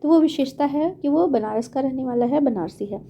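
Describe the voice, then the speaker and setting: High-voiced woman teaching grammar. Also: a little fast, dark tone, slight background noise. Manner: patient, repeats points with thinking fillers. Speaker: exam prep teacher. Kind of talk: explaining grammar questions step by step.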